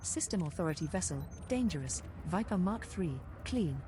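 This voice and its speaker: A woman voicing a female spaceship copilot computer, giving composed and clearly phrased status announcements in a game. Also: on the low side, expressive, normal speed, background noise, close to an everyday voice. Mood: composed, informative. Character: female ship computer copilot, informative and cheeky.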